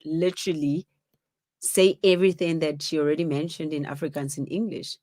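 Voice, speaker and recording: A woman in her forties from South Africa co-hosting a casual live-stream chat, teasing and animated as she tells stories. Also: sits low, a little fast, no echo, studio quality. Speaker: co-host.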